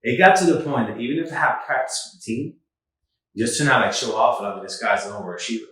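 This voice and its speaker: A man who grew up in the Dominican Republic, hosting a podcast and telling stories about his past in an earnest, animated way. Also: mid-range voice, fast, distant mic. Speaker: podcast host. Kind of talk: casual chat, storytelling. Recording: echoey room, slightly off mic.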